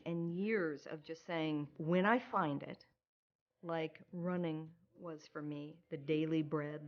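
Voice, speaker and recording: Candid woman with a lowish voice. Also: highs cut off. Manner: candid, often laughing mid-sentence. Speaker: Paralympic skier telling her story. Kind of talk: documentary interview narration.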